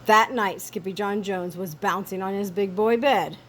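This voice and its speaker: A woman storyteller reading a children's story aloud with expressive, theatrical emphasis. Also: medium pitch, normal speed, a little hiss or hum.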